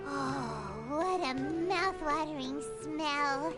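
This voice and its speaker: A woman voicing a small, excitable, food-loving childlike fairy companion in an anime video game, with a squeaky voice. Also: drawn-out words. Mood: excited. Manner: refers to self in third person, exclaiming. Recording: music playing behind the voice.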